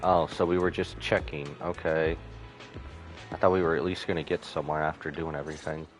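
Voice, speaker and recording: A man's voice: extremely low pitch, flat delivery, fairly quick. A man live streaming a video game, switching between jokey casual reactions and reading the game's text aloud. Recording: game music underneath. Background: game music.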